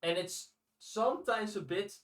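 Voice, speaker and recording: A man with a fairly high voice talks casually as a podcast co-host. He often repeats his first words while working toward a point. The recording has a strong room echo around him. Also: unhurried, fairly bright tone. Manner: hesitant, repeats words as he starts sentences. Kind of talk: casual discussion of audiobooks.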